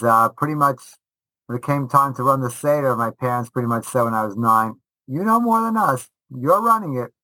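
Bright-voiced middle-aged man, animated and halting. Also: medium pitch, no echo, poor audio. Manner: animated, halting delivery with repeated false starts. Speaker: guest rabbi and veteran Torah teacher. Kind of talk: casual interview storytelling.

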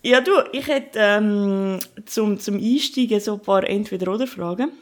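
A woman hosting a casual interview, lively and quick to laugh. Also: medium pitch. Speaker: interview host.

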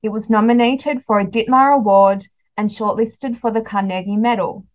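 Female podcast host, conversational interviewer, mid pitch, slightly dull recording. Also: fairly even intonation, moderate pace. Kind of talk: podcast interview, warm book chat.

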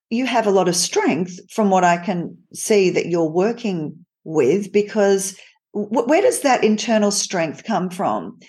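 A woman's voice: medium pitch, darkish tone. A woman interviewer asking long, rambling questions in an admiring, conversational way.

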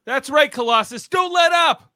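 A woman plays an emphatic superhero teammate in a radio play. The voice is very high, bright and forceful, swooping as it calls out urgent orders. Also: animated, moderate pace, no room sound, studio quality. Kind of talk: live radio theatre script reading.

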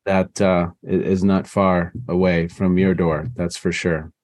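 A man with a fairly low voice hosts a long-form interview in a conversational style. He is appreciative and affirming, often repeating himself for emphasis. The sound is very dry, without room echo. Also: level tone, measured pace, darkish tone. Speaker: interview host.

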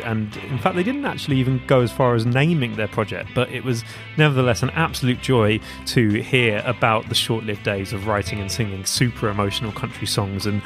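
Upbeat male podcast host over music. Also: medium pitch. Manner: upbeat, enthusiastic. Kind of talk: scripted podcast intro and interview. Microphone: remote interview.

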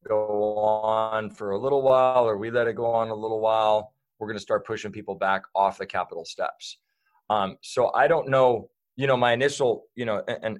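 A man talking as a guest in a casual interview, rambling with lots of fillers and restarts. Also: lowish voice.

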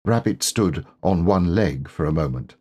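A man reads a children's story aloud. His voice is fairly low and husky, and he takes his time, with expressive, varied intonation. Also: unhurried. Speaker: audiobook narrator.

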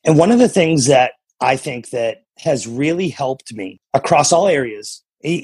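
A man's voice: mid-range voice, creaky, darkish tone, breathy edge, no echo. An American man from New England speaking as a coach and guest in an interview, earnest and emphatic, repeating phrases to drive his motivational points home. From New England, USA.

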